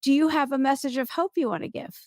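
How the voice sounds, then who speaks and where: A woman hosting a podcast, chatting casually and informally in a halting, conversational way. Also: high voice, darkish tone, dry recording, clean studio sound. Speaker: podcast host.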